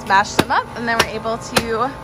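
A chatty woman with a fairly high voice narrates her backyard project while holding the phone camera outdoors. Her tone is upbeat and casual, and a music bed plays under her. Sounds of the open yard come through. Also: steady tone, moderate pace, low-quality recording. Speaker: vlogger. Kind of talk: casual home vlog narration. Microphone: handheld phone camera.

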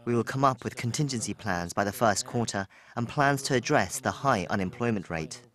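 A man reads a scripted voice-over translation for a news report. His pitch is average for a man. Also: lively intonation, a little fast, a bit bright.

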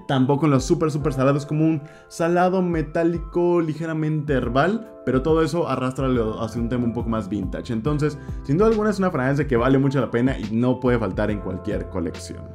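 A young man with a Mexican Spanish accent chats through his favorite scents, gesturing and repeating words for emphasis. His voice sits at an ordinary middle pitch. Soft guitar music plays faintly under him in a small indoor room. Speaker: fragrance reviewer. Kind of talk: casual review vlog. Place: indoor room with shelves of perfume bottles. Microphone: desk mic in front, camera at mid distance.

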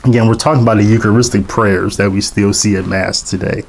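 A man in his forties giving an emphatic, animated lecture to camera in a studio. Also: fairly low pitch, fast, creaky. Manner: animated, emphatic, expressive teaching delivery. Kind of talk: lecture to camera, reading quotations aloud.

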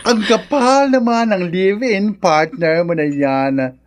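A man's voice: high-pitched, slow pace, over music. A sassy Filipino big-brother confidant. He takes his time with each phrase, blunt and emphatic. The style is theatrical radio drama advice. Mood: sassy, consoling.

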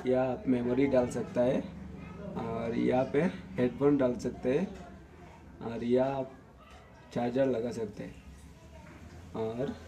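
A young man from India giving a casual commentary as he hosts a product unboxing. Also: mid-range voice. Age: young adult. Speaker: unboxing video host. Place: just outside a room at home, seated.

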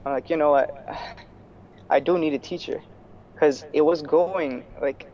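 Young guy from new york on a video call, kinda flat monotone voice, dull audio, lots of likes and restarts. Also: on the high side, a little slow, slight background noise. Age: young adult. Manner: relaxed, lots of fillers and restarts. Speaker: guest, student. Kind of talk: casual interview over video call. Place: small room indoors. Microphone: earphone headset on video call.

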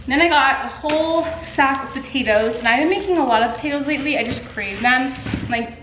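A woman's voice: high voice, fairly quick, noisy background. Young female artist vlogger, casual and rambling, full of "like"s, camera on a stand in a roomy kitchen. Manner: chatty, many "like" fillers, animated hand gestures. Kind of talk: casual vlog chat. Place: home living room and kitchen, indoors. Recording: echoey room.

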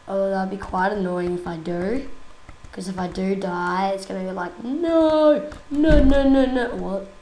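Croaky-voiced young woman, slow and giggly. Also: medium pitch, warm tone, reverberant, slight background noise, low-quality recording. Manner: chatty, giggly, easily exasperated. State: croaky voice from a cold. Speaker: gaming YouTuber. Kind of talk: casual gameplay commentary.

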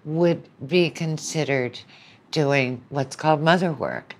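A woman's voice: sits low, slow. A woman lecturer speaking as a podcast guest, explaining things in a conversational way.

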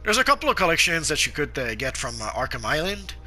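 A man's voice: fairly high pitch, very animated, bright, forceful tone, faint music behind. A man with a sore throat and sniffles, casually talking through a game guide with many fillers and restarts. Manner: halting, frequent "uh" fillers and restarts. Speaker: gameplay guide narrator.

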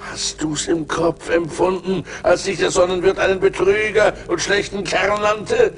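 An actor playing a sinister giant forest spirit in a radio play, coaxing and mocking in a slow, theatrical, creaky voice. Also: male voice, sits high, little pitch movement. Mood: urgent persuasion. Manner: slow, theatrical, wheedling and scornful. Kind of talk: German radio play acting. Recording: 1980s vinyl radio play with music.

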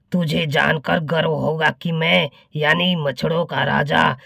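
Cartoon mosquito king, male actor: gravelly, highish, boastful, imperious, short-tempered. Music underneath. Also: fairly bright tone, dry recording, studio quality, heavily acted. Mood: pompous. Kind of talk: Hindi cartoon comedy voice acting. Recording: music behind the voice.

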